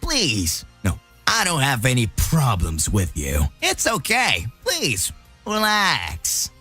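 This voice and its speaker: A man acts out a sly alien tyrant in an anime fan story. He speaks with false courtesy and cruel theatrical taunts, his pitch swinging widely. The tone is sharp, over a music bed. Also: wildly swooping pitch, slow pace, slightly rough, heavily acted. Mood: smooth, condescending.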